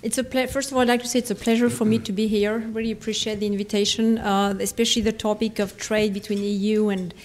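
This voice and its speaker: A woman's voice: medium pitch, little pitch movement, fast, hardly any pauses, a little mellow. French-accented woman panelist. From France. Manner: conversational, friendly, fillers. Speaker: company vice president, panelist. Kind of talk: conference panel talk.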